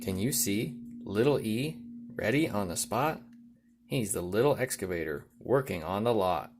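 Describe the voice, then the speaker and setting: Low-voiced man reading a picture book aloud. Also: expressive, slight background noise. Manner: rhythmic, deliberate sound-word emphasis. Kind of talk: read-aloud children's storytelling.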